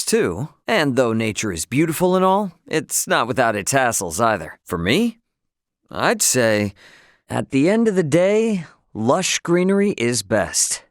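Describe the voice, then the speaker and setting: A man's voice: mid-range voice, no room sound, studio quality. A male actor playing a brash, good-hearted young spacefaring captain in a video game, with casual, slangy, plain-spoken delivery.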